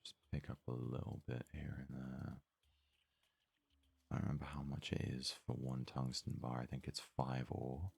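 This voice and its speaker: A man giving hushed, unhurried ASMR gaming commentary, murmuring as if thinking aloud in a husky, breathy voice. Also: very deep voice, flat delivery. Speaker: let's play host.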